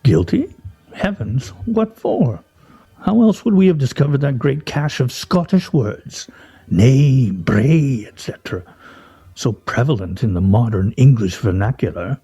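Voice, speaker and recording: A man's voice: medium pitch, expressive, a little slow, dark tone, breathy edge, heavily acted. An actor in a radio play voicing a pompous, sardonic man who speaks in grandiose, mock-serious style. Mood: wry, grandiose. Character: pompous, sardonic, theatrical man at a scrabble game.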